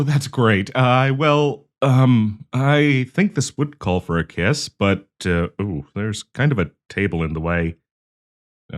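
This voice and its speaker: A man playing a nervous, awkward young man shyly confessing love to a friend in an audio roleplay, stammering and hesitant. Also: fairly low pitch. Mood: relieved, awkward. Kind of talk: intimate audio roleplay acting.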